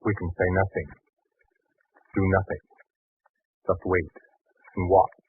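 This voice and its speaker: A man's voice: low-pitched, steady tone, slow pace, husky, heavily acted. An actor playing an anguished, indecisive husband and father in a 1940s radio drama, hesitant and brooding. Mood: resigned. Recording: thin, muffled 1940s radio recording.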